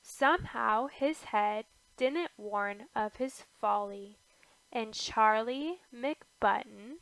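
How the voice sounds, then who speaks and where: A woman reading a rhyming children's picture book aloud, with deliberate phrasing and pauses between short phrases. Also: a little high, a bit bright, no room sound, rough recording. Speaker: storybook reader.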